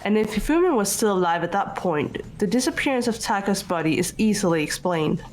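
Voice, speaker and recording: A cool, analytical student from a mystery game, acted by a woman. The voice sits at a middle pitch, measured and matter-of-fact, over background music. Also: lively intonation, close to an everyday voice, poor audio. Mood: composed, analytical. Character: composed, analytical student reasoning coolly through a murder case. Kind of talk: scripted game dialogue read aloud by fans.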